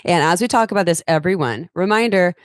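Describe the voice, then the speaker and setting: A woman's voice: on the low side, a little fast, clean tone, no echo, studio quality. A woman hosting a podcast, introducing her guest in a bubbly, chatty way. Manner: bubbly, chatty, many fillers. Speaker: podcast host. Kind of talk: casual podcast chat.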